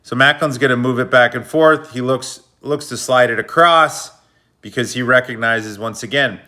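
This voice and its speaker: A man's voice: mid-range voice, moderate pace, sharp tone. A man giving a casual, slangy breakdown of hockey game footage, explaining plays like a coach. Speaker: hockey video analyst.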